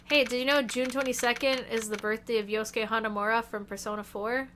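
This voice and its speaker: A woman's voice: a little high, steady tone, brisk, noisy background. A young woman streaming a game, chatting casually and joking about herself to her viewers. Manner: chatty, joking, self-deprecating. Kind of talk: casual gaming stream chat. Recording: game music playing loudly under voice.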